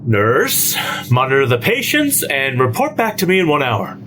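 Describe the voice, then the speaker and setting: A man playing a cold, greedy asylum doctor in a horror audio drama, calm and commanding. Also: fairly high pitch, moderate pace, slight background noise, voice pushed up, heavily acted. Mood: cold, sly. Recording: loud music under the voice.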